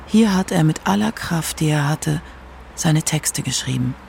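A woman narrating a scripted literary radio feature with measured, dramatic emphasis. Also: sits low, animated, gravelly, a little mellow, slight background noise.

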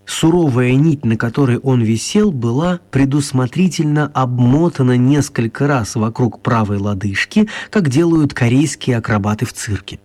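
A man's voice: mid-range voice, moderate pace, few pauses, darkish tone. A man narrating a children's radio play in a scripted storytelling style.

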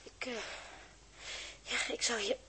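A woman's voice: slow pace, bright, forceful tone. A woman with a Netherlands Dutch accent playing a dreamy, wonderstruck space explorer in a 1960s radio play, with hesitant, musing speech.

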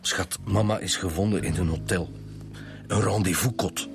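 An actor playing a shocked, disbelieving Flemish man who speaks haltingly, in a naturalistic radio drama. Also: low-pitched, fairly even intonation, gravelly, music in the background. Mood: shocked disbelief. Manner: halting, incredulous. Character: shocked, disbelieving adult son of murdered woman.

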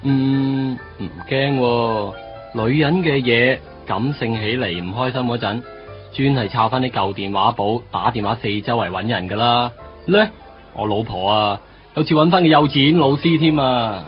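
A man's voice: medium pitch, normal speed, lightly acted. An actor playing a glib married buddy in his late twenties in a radio drama, lecturing chattily and emphatically about life in Hong Kong Cantonese. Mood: joking, casual. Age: 20s. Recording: dull lo-fi radio recording. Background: faint music.

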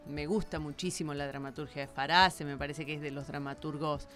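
A woman's voice: sits low, steady tone, a little fast, a bit bright, faint music behind. An Argentine woman giving a casual radio interview, thinking aloud through long, hesitant explanations as a theatre director.

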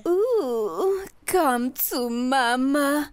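Woman playing a high-voiced brash flirt. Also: lively intonation, drawn-out words, no echo, exaggerated voice. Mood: lustful. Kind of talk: comedic audio drama parody.

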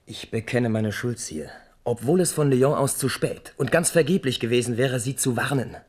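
An anxious courtier in a radio drama, husky and medium in pitch. He sounds courtly, deferential and defensive. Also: animated, moderate pace, a little mellow. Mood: contrite, defensive. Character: apologetic, anxious courtier pleading with his king. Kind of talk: radio play acting.

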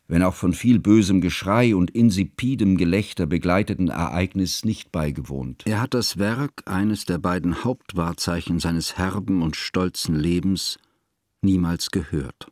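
A man's voice: lowish voice, darkish tone, dry recording, clean studio sound, natural voice. A man playing a grave, bookish, sorrowful older chronicler, narrating formally and solemnly in a literary radio play.

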